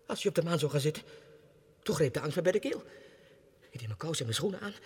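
Husky, high-voiced raving mad king. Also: heavily acted. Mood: panicked. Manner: erratic, swinging from hushed whispers to agitated outbursts. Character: deranged clerk who believes he is Spanish king. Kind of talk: 1960s radio drama monologue. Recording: reverberant 1960s radio studio recording.

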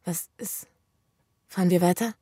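An actress playing a frightened young woman stranded in dark woods in a radio crime drama, colloquial and pleading. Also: lowish voice, unhurried, breathy edge, no room sound, studio quality. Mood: uneasy. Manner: colloquial, cursing, breathless pleading.

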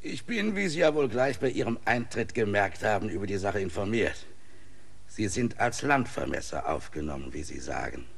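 A gravelly old bedridden mayor. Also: male voice, fairly low pitch, very animated, moderate pace, heavily acted. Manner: courteous, rambling, officially evasive. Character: older bedridden village mayor, gout-ridden, long-winded. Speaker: village mayor. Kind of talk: radio play acting.

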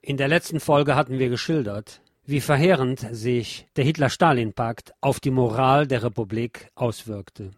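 A man reading scripted historical documentary narration in a formal, measured manner. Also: mid-range voice, faint music behind. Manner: formal, measured read delivery.

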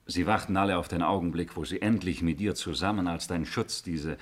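Low-voiced loyal Roman officer. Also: male voice, brisk. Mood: earnest deference. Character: loyal, deferential Roman palace guard commander. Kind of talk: 1950s German radio drama acting.

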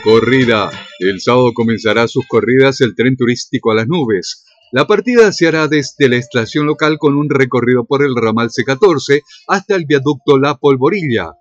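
A man's voice: mid-range voice, expressive, normal speed, faint music behind. An Argentine man reading a scripted local radio news bulletin with time and weather. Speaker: radio newsreader. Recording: dull lo-fi radio sound.

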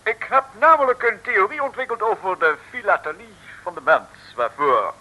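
An actor playing a pompous, politely sinister professor in an old radio serial, speaking formally with many hesitant ehs. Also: male voice, sits high, very animated, moderate pace, pushed and bright, full character voice, rough recording. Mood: eagerly sinister.

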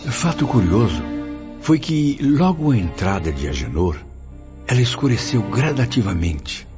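An actor playing an intrigued narrator recounting strange events in a radio novel. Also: male voice, mid-range voice, very animated, unhurried. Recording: dull lo-fi, music underneath.

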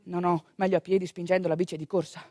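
A woman with a northeastern Italian accent telling a theatrical monologue in an expressive, conversational manner. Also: lowish voice, fast. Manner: expressive, conversational, with rhetorical questions and asides. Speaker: stage actor and storyteller.

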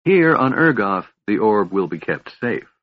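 A man's voice: fairly low pitch, big pitch swings, measured pace, dry recording, phone-quality sound. A man voicing a proud, stern elven elder brother in a fantasy audiobook, speaking in a haughty, formal, accusing way.

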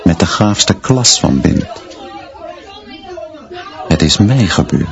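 Sombere leraar met lage vertelstem. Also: male voice, deep voice, very animated, slow pace, vocal fry, highs cut off, soft background music, some chatter behind, exaggerated voice. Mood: brooding, bitter. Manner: terse, clipped sentences. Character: stern, brooding male schoolteacher narrating his own story. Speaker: narrator. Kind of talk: literary radio play narration, present tense.

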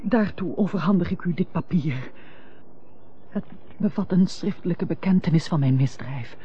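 A woman's voice: lowish voice, animated, normal speed, mellow, lightly acted. An actress as a penitent, dignified noblewoman in a melodramatic radio play. She speaks formally and humbly, on a muffled old recording with faint hiss. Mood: penitent, sorrowful. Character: remorseful, humble, dignified noblewoman, estranged wife. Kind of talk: old-fashioned melodramatic radio drama acting.

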